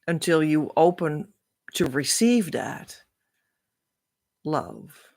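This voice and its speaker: Slow-speaking woman teacher. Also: sits low, big pitch swings, gravelly, a little mellow. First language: Dutch. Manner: earnest, reassuring, unhurried. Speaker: spiritual teacher. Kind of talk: spiritual teaching talk.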